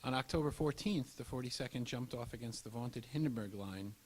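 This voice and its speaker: A male narrator delivers scripted history in a mid-pitched voice. His delivery is formal and measured, as in a documentary presentation. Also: darkish tone, husky, low-quality recording.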